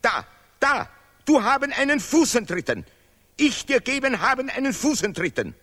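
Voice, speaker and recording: An actor playing a stubborn, contemptuous Nobel laureate scholar in a stylized radio play, declaiming broken German in emphatic, repeated phrases. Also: male voice, very high-pitched, very animated, moderate pace, fairly bright tone, in a large hall, exaggerated voice. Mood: threatening. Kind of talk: stylized avant-garde radio play acting.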